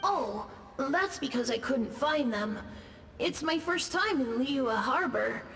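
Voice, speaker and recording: A woman's voice: high voice, lively intonation, slow, vocal fry, a bit bright, a little hiss or hum, exaggerated voice. A woman voicing a timid, lost young boy ghost who talks hesitantly and apologetically, in a video game. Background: game background music.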